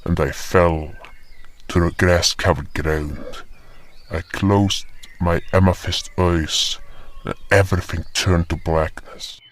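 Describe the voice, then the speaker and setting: A man's voice: low-pitched, steady tone, slow pace. A man playing a solemn creature who narrates his own tale in a scripted fantasy audio drama.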